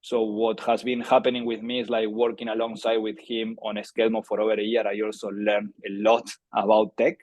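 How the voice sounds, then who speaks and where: A man's voice: on the low side, flat delivery, brisk, few pauses, darkish tone, no room sound. A man in his thirties from Argentina, with a strong Argentine accent, presenting a webinar in a chatty, filler-filled way. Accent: Argentine Spanish. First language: Spanish. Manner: conversational, many fillers and restarts. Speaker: startup founder presenting a webinar.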